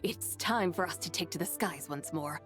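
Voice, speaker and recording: A woman's voice: sits low, moderate pace, fairly bright tone. A woman voicing a proud ancient immortal in a video game, speaking formally and grandly. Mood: haughty. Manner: formal, archaic, pompous declarations. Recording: game dialogue over background music.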